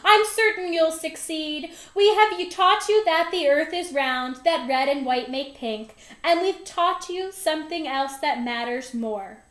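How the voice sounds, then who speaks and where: A woman's voice: high-pitched, a little slow, hardly any pauses, fairly bright tone, echoey room. A woman playing a reassuring, exuberant female schoolteacher in a children's storybook, speaking with encouraging emphasis. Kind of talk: children's storybook read-aloud.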